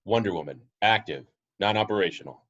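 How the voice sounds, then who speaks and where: A man's voice: lowish voice, lively intonation, brisk, a bit bright. A man playing an impassive surveillance supercomputer in a superhero script, with clipped, formal, emotionless delivery. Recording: dull lo-fi home recording.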